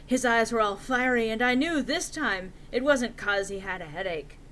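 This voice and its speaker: A woman's voice: fairly high pitch, animated, moderate pace, fairly bright tone. A woman narrating a story aloud in a theatrical, emphatic, comic dramatic-reading style.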